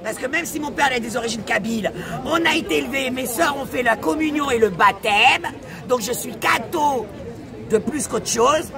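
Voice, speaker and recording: Blunt gravelly woman from Paris. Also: high-pitched, moderate pace. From France, born in Paris. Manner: forceful, blunt, crude. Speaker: activist. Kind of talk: street conversation. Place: outdoors on a city street. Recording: noisy outdoor crowd.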